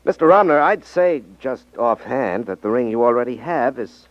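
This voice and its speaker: Man acting a lively, commanding radio detective. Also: mid-range voice, moderate pace, dry recording, close to an everyday voice. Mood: composed. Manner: firm, wry, authoritative. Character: confident, cool-headed male detective-magician. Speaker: radio drama actor, lead. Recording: muffled 1950s radio recording.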